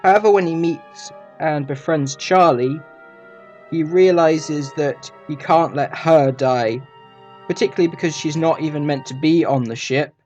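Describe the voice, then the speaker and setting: Male video reviewer, slightly higher-pitched voice, casual commentary, light guitar music underneath. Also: unhurried, a little mellow, lo-fi sound.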